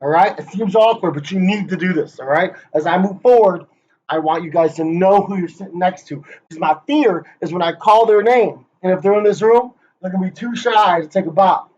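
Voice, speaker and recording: A man's voice: high-pitched, a little fast, a little mellow. A man rehearsing a playful conference presentation, joking, hyping up and teasing the people he names. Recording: dull lo-fi recording.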